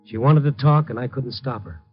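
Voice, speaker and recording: Actor as hard-boiled American investigator in radio drama. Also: male voice, medium pitch, brisk, faint music behind, natural voice. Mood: tense, reflective. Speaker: lead actor and narrator. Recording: dull lo-fi vintage radio recording.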